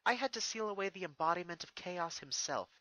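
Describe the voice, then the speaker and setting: Man putting on a stern regal pony princess, raised pitch. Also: lively intonation, brisk, pushed and bright. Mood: stern, accusing. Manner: formal, solemn speechmaking.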